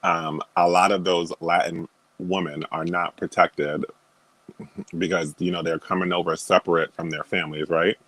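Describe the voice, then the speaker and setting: A man's voice: deep voice, level tone, moderate pace, fairly bright tone, no echo, low-quality recording. A man talking as a guest on a video call, stating firm opinions with many fillers and laughing at himself. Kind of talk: casual opinionated chat.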